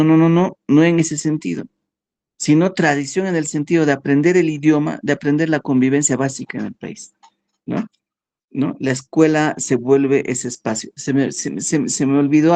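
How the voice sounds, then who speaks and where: Slow, halting male lecturer. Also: mid-range voice, darkish tone. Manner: slow, halting, with frequent "¿no?" and "¿ya?" checks. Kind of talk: philosophy workshop lecture.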